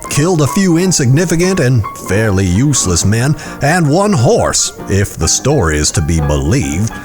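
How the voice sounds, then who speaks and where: An actor playing a proud, calculating town boss in a western audio drama, speaking in a measured, formal, commanding way. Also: male voice, mid-range voice, big pitch swings, over music, close to an everyday voice. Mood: skeptical.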